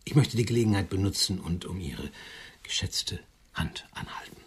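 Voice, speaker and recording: An actor playing an elderly, lonely widower in a radio drama, courting with formal, awkward, old-fashioned politeness. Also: male voice, low voice, animated, moderate pace, breathy edge, in a whisper, heavily acted. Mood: solemn, tender. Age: older.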